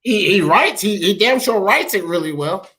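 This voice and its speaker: A man's voice: high voice, animated, normal speed, pushed and bright, breathy edge. An American man hosting a casual sports talk show, blunt and slangy, swearing freely and emphatically. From United States.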